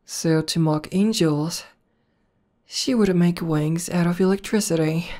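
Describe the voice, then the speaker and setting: A woman acts out a muscular demoness girlfriend in an ASMR audio roleplay. Her voice is low and soft-edged, relaxed and very unhurried. She speaks with quiet pride and intimate ease, pausing as she thinks. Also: lightly acted. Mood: calm, reminiscing. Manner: unhurried, hesitant pauses, conversational. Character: buff tomboy demoness girlfriend, proud battle legend, calmly affectionate.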